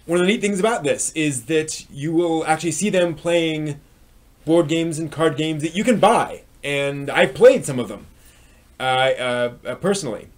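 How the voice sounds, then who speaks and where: A man hosting a live stream review, talking unscripted in a halting way, often repeating and rephrasing himself. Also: on the high side. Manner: frequent throat clearing.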